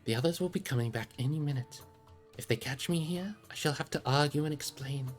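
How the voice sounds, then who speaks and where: A reader voicing a gentle but resolute male hobbit gentleman in a storybook, well-spoken and fond of his servant. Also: mid-range voice, a little fast, soft background music, pitched above the performer's own voice, natural voice. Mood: exasperated, resolute.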